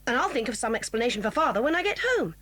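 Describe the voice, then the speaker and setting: A headstrong British tomboy girl in a children's adventure drama. The voice is high and determined, swinging freely in pitch, in a close dry recording. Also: animated, rapid-fire, fairly bright tone, dry recording. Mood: anxious, resolute. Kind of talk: children's audio drama acting.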